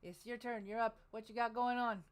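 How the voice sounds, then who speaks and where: A woman's voice: a little high, steady tone, brisk, clear, resonant tone. A woman hosting a podcast in casual chat, talking in a chatty, rambling way. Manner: chatty, rambling, laughs easily. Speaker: podcast host.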